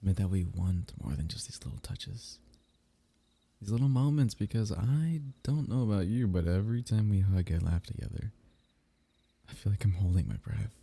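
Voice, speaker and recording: A young man speaking softly and intimately in an ASMR roleplay, teasing and sometimes dropping into a whisper. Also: lowish voice, lively intonation, normal speed, vocal fry, husky.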